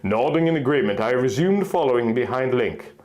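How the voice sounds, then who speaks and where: Animated man giving a theatrical story reading. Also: medium pitch, a little fast. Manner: theatrical, exaggerated. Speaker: narrator. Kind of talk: dramatic reading of fan fiction.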